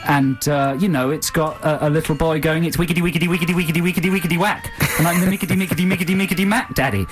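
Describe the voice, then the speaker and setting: Man, radio DJ, jokey and teasing, slightly high voice, music underneath. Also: steady tone, racing. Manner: playful, teasing, laughs often. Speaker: radio DJ co-host.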